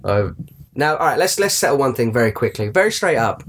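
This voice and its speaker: A man's voice: mid-range voice, big pitch swings, a little fast, a little hiss or hum. A man hosting a casual podcast, rambling and joking while stumbling over his words. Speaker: podcast host.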